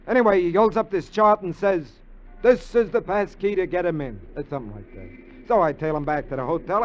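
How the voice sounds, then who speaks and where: An actor playing an eager, scheming American burglar sidekick in a 1950s radio drama, talkative, slangy and wheedling. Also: male voice, sits high, noisy background. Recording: dull vintage radio recording.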